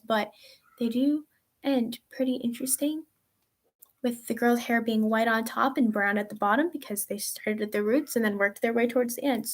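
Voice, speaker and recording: Teen girl rambling through a q&a vlog, kinda high voice, lots of likes and ums. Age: teens. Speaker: vlogger answering viewer questions. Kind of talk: casual chat.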